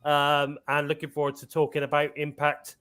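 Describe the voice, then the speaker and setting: A man's voice: fairly high pitch, monotone, bright, forceful tone, clean tone. A man hosting a live-stream talk show, chatty and upbeat, with a lingering cough. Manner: upbeat, chatty, drawn-out fillers. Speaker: podcast host. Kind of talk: casual live-stream chat.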